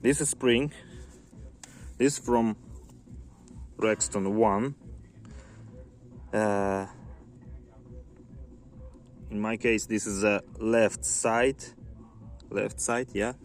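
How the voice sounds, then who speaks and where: Slow-talking man with a Ukrainian accent. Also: lowish voice, fairly even intonation, soft background music. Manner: halting non-native English, explains step by step. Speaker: car hobbyist showing parts. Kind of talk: casual how-to demonstration. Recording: loud background noise.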